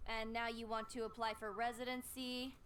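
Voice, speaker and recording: A woman's voice: fairly high pitch, level tone, moderate pace, fairly bright tone, a little hiss or hum, natural voice. A woman playing a businesslike female government clerk in a video game. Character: brisk, unbending female bureaucrat clerk.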